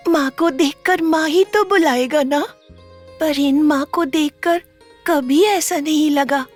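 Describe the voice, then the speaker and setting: A woman voicing a timid, mistreated little girl in a cartoon, speaking gently and pleading. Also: very high voice. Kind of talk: cartoon voice acting.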